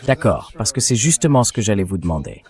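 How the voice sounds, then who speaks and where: A synthetic male voice on the low side delivers political commentary as a show host. It sounds like an AI dub of a talk programme. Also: sing-song, fast talker, creaky, darkish tone.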